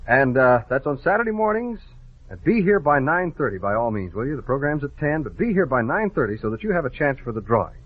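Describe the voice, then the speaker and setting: A man's voice: medium pitch, big pitch swings, fast talker, phone-quality sound. A man with an American accent, announcing on a 1950s children's radio show, urging young listeners in a cheery, direct way on an old broadcast recording.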